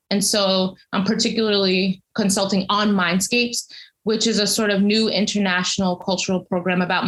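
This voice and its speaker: This is a woman being interviewed as a cultural consultant and academic. She explains things conversationally but carefully. Her sentences are long, measured and articulate, full of detail. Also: medium pitch, a bit bright.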